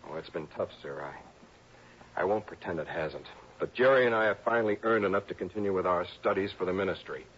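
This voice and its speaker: Earnest young student, low voice. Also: male voice, fairly quick, a bit bright, slightly rough. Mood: earnest, polite. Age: young adult. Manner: deferential, hesitant. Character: earnest, polite young male divinity student. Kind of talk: 1960s radio drama acting. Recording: muffled, faintly noisy old radio recording.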